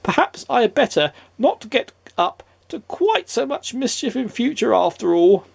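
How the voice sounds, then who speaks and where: A man doing Mister Mischief, a giggling high-voiced imp. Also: sing-song, moderate pace, creaky, slightly rough, full character voice. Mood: dismayed. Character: small giggling mischievous male imp-like prankster.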